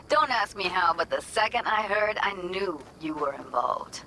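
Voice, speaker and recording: A woman's voice: lowish voice, expressive, slow pace, pushed and bright, a little hiss or hum, full character voice, poor audio. A woman playing a jaded, weary young woman in a video game, tough but tender, talking in casual, clipped slang. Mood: wry, casual. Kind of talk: naturalistic video game voice acting.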